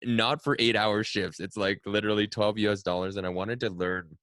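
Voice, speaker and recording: Deep-voiced male podcast host, reflective. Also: fairly even intonation, brisk, fairly bright tone, dry recording, studio quality. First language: Cantonese. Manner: reflective, frequent "right?" tag questions. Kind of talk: casual conversational interview.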